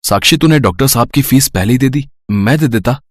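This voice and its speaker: A man acting a calm, helpful figure in a family story, speaking reassuringly. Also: mid-range voice, brisk, dry recording, clean studio sound, lightly acted. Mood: gentle. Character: calm, helpful men of a family tale, doctor and brother.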